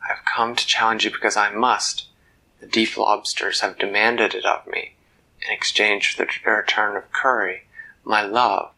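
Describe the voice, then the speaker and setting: A man's voice: on the low side, flat delivery, measured pace, pushed and bright, full character voice, poor audio. A man voicing a brave, stubborn young male hero who speaks in a raised, insistent voice. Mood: defiant, demanding.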